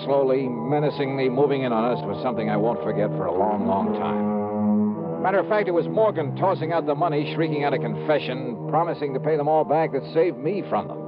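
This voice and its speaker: An actor playing a cool, wry hard-boiled investigator in a 1950s radio detective drama. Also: male voice, medium pitch, a little fast, over loud music, lightly acted. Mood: tense, wry narrating. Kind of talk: 1950s radio detective drama, first-person narration and dialogue. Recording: muffled old radio broadcast recording.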